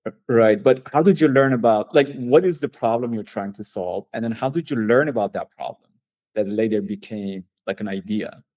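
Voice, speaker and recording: A man's voice: on the low side, moderate pace, a little mellow, highs cut off. A man hosting an interview, English not his first language, asking curious questions with stammering restarts. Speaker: podcast host.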